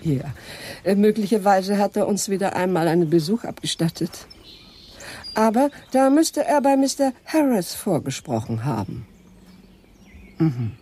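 An actress playing a genteel sanatorium owner in a German radio crime drama, polite, hesitant and evasive. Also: female voice, medium pitch, very animated, a little slow, dark tone, breathy edge. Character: genteel, guarded lady owner of a private sanatorium.

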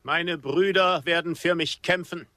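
A captive Apache chief in a radio play. His voice sits a little high for a man. He declaims solemnly, proud and defiant. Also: fairly even intonation, unhurried, pushed and bright. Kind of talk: radio drama acting.

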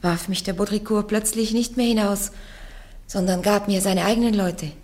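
A young girl stands before a church court and gives her accusers nothing but short, stubborn answers. Her faith is steady and her tone never softens. A woman acts her out in a classic radio play. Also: medium pitch, moderate pace, lightly acted. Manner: terse, defiant answers. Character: young peasant girl on trial, defiant and devout. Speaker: accused in a heresy trial. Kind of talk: German radio play acting.

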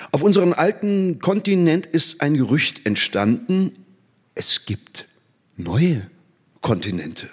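An actor playing an enthusiastic mathematics teacher, declamatory and didactic, in a theatrical drama. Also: male voice, medium pitch, slightly rough. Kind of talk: theatrical scripted performance.

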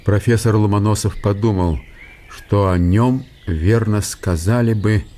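A man's voice: on the low side, unhurried, dark tone, slight background noise. A man narrating a literary radio drama from a script.

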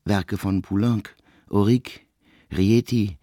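An actor playing a reserved, intellectual composer in a radio drama, speaking in a precise, deliberate, formal way. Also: male voice, fairly low pitch, steady tone, warm tone, no room sound, clean studio sound. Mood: matter-of-fact. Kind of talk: literary radio drama monologue.

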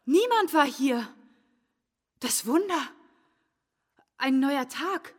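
An actress playing a desperate, guilt-ridden young wife in a literary radio drama, with a breathy voice and intense, pleading delivery. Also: female voice, sits high, lively intonation, drawn-out words, heavily acted. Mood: hopeful awe. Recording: long hall reverb with music.

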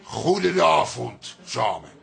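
Gravelly old martial arts veteran. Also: male voice, medium pitch, sing-song, slow, faint music behind, full character voice. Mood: blunt, cocky. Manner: blunt, teasing. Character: gruff, touchy older martial arts veteran. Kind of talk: radio comedy drama acting.

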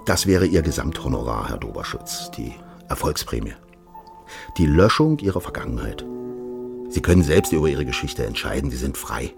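An actor playing a courteous, insistent East German official in a radio drama, with a German accent and insinuating manner. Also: male voice, very deep voice, expressive, creaky, music in the background, full character voice. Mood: persuasive. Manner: courteous, insistent, deliberate phrasing with repeated direct address. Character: smooth, insinuating East German official offering a sinister bargain.

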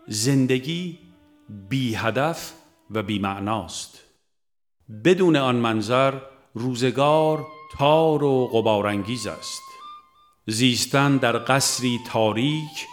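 A man with a medium-pitched voice reads a scripted spiritual audiobook. He is measured and solemn, with deliberate pauses between phrases. Faint music sits behind the narration.

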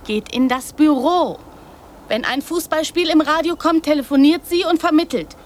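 A woman's voice: sits high, normal speed, natural voice. A woman playing a precocious, brazen young girl in a radio drama, speaking in blunt, childlike short sentences. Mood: matter-of-fact, defiant.

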